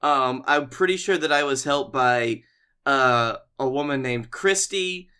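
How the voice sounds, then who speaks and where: A man co-hosting a comedy podcast, telling casual stories in an animated, playfully exaggerated way. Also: medium pitch, unhurried, clean tone. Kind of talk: casual comic storytelling.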